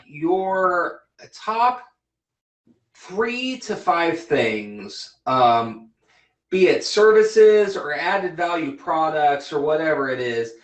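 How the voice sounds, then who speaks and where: Blunt, teasing male host in a roomy space. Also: high-pitched. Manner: blunt, teasing, with frequent restarts. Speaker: interview host, dentist. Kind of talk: casual livestream interview chat. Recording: roomy echo.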